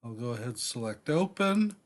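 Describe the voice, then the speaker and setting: A man presenting a step-by-step software demonstration in an unscripted, deliberate way, often pausing mid-sentence. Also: mid-range voice, sing-song.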